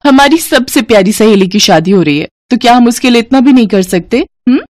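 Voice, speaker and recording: A woman's voice: on the high side, animated, a little fast, darkish tone, dry recording, clean studio sound, close to an everyday voice. A woman voicing a rich, devoted young female friend in a cartoon story, eager and insistent. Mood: affectionate. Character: rich, bubbly, devoted young girlfriend of the bride.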